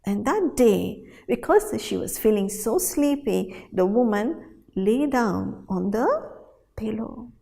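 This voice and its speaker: A woman storyteller telling a folktale in a dramatic, animated way, with deliberate pauses and repetition. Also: fairly high pitch, creaky. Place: hall at a storytelling festival. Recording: reverberant hall sound.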